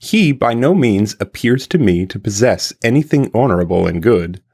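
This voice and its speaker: Male narrator for classical philosophy audiobook: lowish register, highly varied intonation, formal and deliberate phrasing. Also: expressive, darkish tone, slightly rough. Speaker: reader of a philosophical text. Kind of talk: scripted audiobook-style reading of an archaic philosophical translation.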